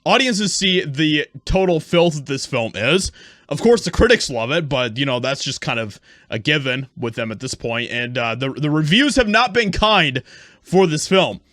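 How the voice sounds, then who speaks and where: A male online commentator with a fairly high voice delivers his opinions emphatically. His tone is scornful and pointed, and the recording is close and dry, with very little room sound. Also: animated, moderate pace, a bit bright, no echo. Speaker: YouTube commentator.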